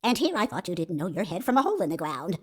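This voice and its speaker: A woman's voice: lowish voice, big pitch swings, quick pace, breathy edge. A woman voicing a feisty, folksy older female security guard and witness in a courtroom game. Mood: sassy, mocking. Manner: folksy, sassy, scolding. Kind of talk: video game fan voice acting.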